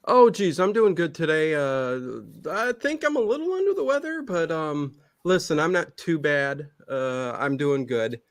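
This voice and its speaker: A man's voice: on the high side, expressive, a little slow, few pauses, no room sound, clean studio sound. A man with an American Midwestern accent, talking casually and colloquially as a guest, often repeating himself. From Cleveland, Ohio, United States. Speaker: guest, horror show streamer. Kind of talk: casual chat.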